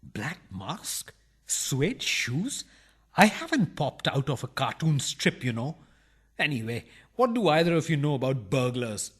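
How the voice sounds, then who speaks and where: An actor playing a cheeky, indignant burglar in a farcical radio play, protesting politely and taking things comically literally. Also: male voice, medium pitch, sing-song, a little slow, fairly bright tone, breathy edge, exaggerated voice. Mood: indignant, proud.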